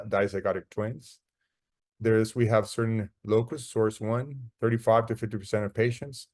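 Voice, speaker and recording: Low-pitched man lecturing. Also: a little mellow. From Costa Rica. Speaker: dermatologist giving a lecture. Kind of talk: medical slide presentation.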